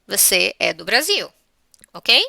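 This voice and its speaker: A Brazilian woman gives a grammar lesson in a conversational, didactic manner. Her voice is bright and forward with a creaky edge. She lays out each point step by step and checks for understanding, in a dry recording with very little room sound. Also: mid-range voice, very animated, slow, no echo. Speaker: English teacher.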